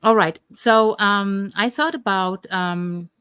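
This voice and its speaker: A woman's voice: medium pitch, takes it slowly, fairly bright tone, clean tone, no room sound. A woman with a German accent, co-hosting a live health coaching Q&A stream with lots of ums and restarts. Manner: chatty, many ums and restarts. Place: home in Atlantic Canada.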